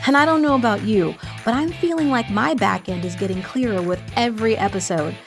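A woman's voice: fairly high pitch, music in the background. Woman reading an upbeat podcast outro. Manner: upbeat, measured. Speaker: podcast co-host. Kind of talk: scripted outro.

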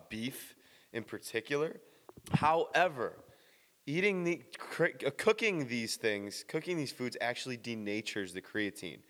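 A man explaining a supplement in a casual, blunt vlog monologue, swearing now and then, with a slightly raspy early-morning voice. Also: medium pitch, fairly bright tone, big-room sound. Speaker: fitness vlogger.

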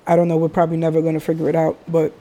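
A man's voice: fairly high pitch, completely flat delivery, very fast, dark tone. A middle-aged man from the Bronx hosting a podcast, talking in a reflective, rambling way and laughing often. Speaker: podcast host. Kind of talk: casual podcast chat.

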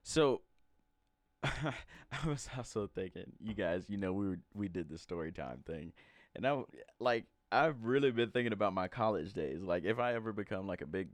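A man's voice: fairly low pitch, animated, normal speed, dry recording, studio quality. A man in his twenties from Alabama hosting a casual chat, rambling with lots of fillers and frequent laughter. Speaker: podcast host.

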